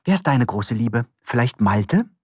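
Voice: mid-range voice, sing-song, measured pace, breathy edge, dry recording, clean studio sound. A narrator voicing a nervous, chatty middle-aged man, a former postman who rambles eagerly. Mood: rambling. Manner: chatty, fussy, eager to please. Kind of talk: audiobook character voice.

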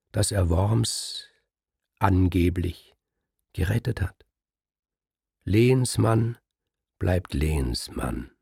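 A man voicing Brünnhild, a cool, scheming queen who speaks in a low, hushed, insinuating murmur. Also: vocal fry, husky, dry recording, studio quality, heavily acted.

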